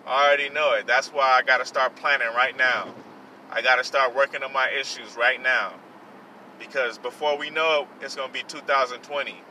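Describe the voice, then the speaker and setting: Earnest young man, bright thin voice. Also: mid-range voice, steady tone, fairly quick, far from the mic, a little hiss or hum, rough recording. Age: 20s. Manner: earnest, repetitive, self-reflective, with many fillers. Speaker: podcast host. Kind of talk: personal motivational monologue.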